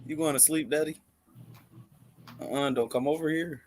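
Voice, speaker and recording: A man joining a podcast video call as a contender, easygoing and quick to laugh. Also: a little high, fairly even intonation, a little hiss or hum. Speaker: tournament contender. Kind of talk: casual video-call chat.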